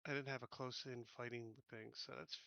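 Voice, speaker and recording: A man's voice: medium pitch, flat delivery, brisk, vocal fry, sharp tone, lo-fi sound. A man chatting casually as a player in a tabletop game over voice chat.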